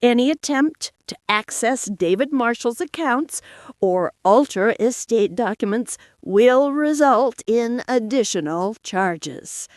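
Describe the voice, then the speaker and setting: A woman's voice: on the high side, expressive, takes it slowly, no echo, clean studio sound. A woman voicing a stern, authoritative female police detective in audiobook-style story dialogue. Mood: stern, official.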